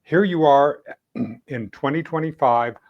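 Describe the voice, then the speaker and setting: A man hosting a conversational interview in a deliberate, encouraging manner. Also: on the high side, lo-fi sound. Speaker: interview host.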